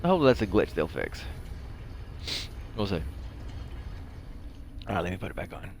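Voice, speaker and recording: Deep-voiced man chatting over a game. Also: huge pitch swings, moderate pace, vocal fry, a little mellow, a little hiss or hum. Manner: rambling, talks to himself. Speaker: gaming video host. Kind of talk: casual game commentary while playing.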